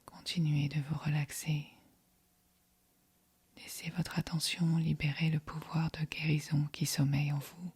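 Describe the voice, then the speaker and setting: A woman guiding a yoga nidra meditation in a soft, breathy, whispery voice, calm and soothing. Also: low-pitched, no echo. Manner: calm, soothing, measured delivery. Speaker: meditation guide.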